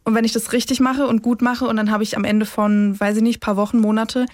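A woman's voice: fairly high pitch, flat delivery, a little fast, darkish tone, dry recording, clean studio sound. A young woman speaking in a chatty, informal way as a podcast co-host, dropping in English slang. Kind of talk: casual banter between co-hosts.

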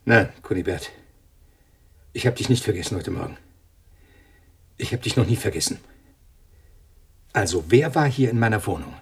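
An actor playing an uneasy, irritable scientist in a German radio thriller, speaking in a hesitant, agitated way. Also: male voice, fairly low pitch, lively intonation, moderate pace, creaky, long pauses, slight background noise. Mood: puzzled, anxious. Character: uneasy, irritable male scientist living alone with budgie.

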